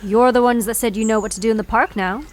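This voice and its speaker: A woman playing a gruff, dismissive guardian creature in an audio drama, curt and challenging. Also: fairly high pitch, steady tone, fast, lightly acted. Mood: taunting.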